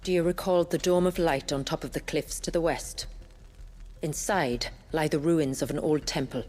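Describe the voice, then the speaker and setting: An actress playing a grave village guide who keeps her people's history, speaking in a measured, solemn storytelling way in a fantasy game. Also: low voice, steady tone, darkish tone. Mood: guarded, purposeful. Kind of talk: dramatic fantasy game dialogue. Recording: music under the voice.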